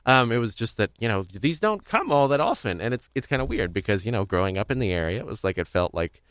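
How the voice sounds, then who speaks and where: A young man casually hosting a podcast, talking in a rambling, halting way with frequent restarts. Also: on the low side, sing-song, fairly bright tone, clear, resonant tone, no echo, studio quality. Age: young adult. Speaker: podcast host.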